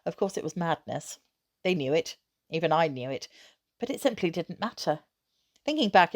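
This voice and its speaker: A woman with a slightly low voice narrates a children's story. She reads expressively, in measured phrases with a storyteller's emphasis. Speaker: teacher reading aloud.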